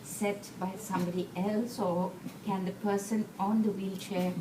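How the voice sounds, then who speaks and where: A woman's voice: medium pitch, flat delivery, unhurried, very noisy. A woman from the audience asking a hesitant question, with many fillers. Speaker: audience member. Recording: echoey hall, mic far off.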